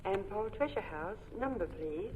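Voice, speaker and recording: A woman's voice: mid-range voice, lively intonation, moderate pace, phone-quality sound. A woman acting as a prize-line operator, giving scripted switchboard announcements on an old radio show. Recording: distant, noisy vintage radio in echoey hall.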